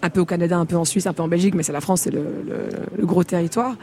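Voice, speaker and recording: A woman's voice: on the low side, racing, warm tone, slight background noise. A woman from the Congo, a singer as a radio interview guest, talking candidly with frequent laughs and fillers.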